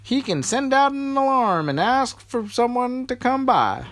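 Man doing a huffy squeaky lifeguard. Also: sing-song, a little slow, a bit bright, no room sound, exaggerated voice. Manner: indignant, huffy. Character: grumpy self-important adult lifeguard.

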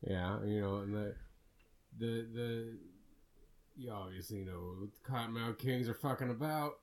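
A man's voice: fairly low pitch, measured pace. A man co-hosting a podcast, chatting in a laid-back way and swearing often. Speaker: podcast co-host. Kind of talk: casual chat.

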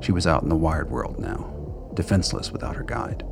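Male narrator, extremely deep, gravelly fry, deliberate noir storytelling, music bed. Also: animated. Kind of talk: scripted dramatic narration.

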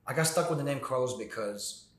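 A man's voice: mid-range voice, brisk, echoey room. A man telling his story in casual podcast chat, rambling with lots of "you know what I'm saying". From born in Argentina, raised in Maryland. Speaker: guest artist.

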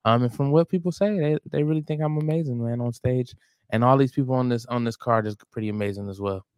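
An American man with a clear, smooth voice at medium pitch. He chats informally and rambles as he makes his recommendations, and the sound is dry. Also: fast, darkish tone, no echo. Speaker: show regular and stand-up comedian. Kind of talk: casual chat, plugging shows.

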